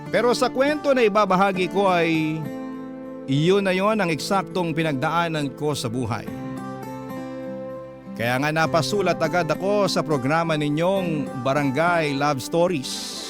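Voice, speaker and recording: High-voiced Filipino male radio storyteller. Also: unhurried. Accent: Filipino, Manila Tagalog. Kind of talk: dramatic narration of listeners' love letters. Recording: clear music bed behind.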